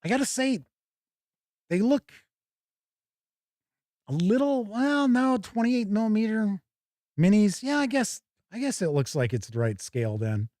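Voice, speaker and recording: A man hosting a tabletop game show, giving casual, halting live unboxing commentary and thinking aloud. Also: high voice, big pitch swings, a little mellow, no room sound, clean studio sound.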